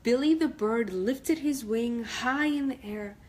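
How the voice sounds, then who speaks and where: A woman's voice: fairly high pitch, measured pace, darkish tone. A woman storyteller reading a picture-book story aloud for children.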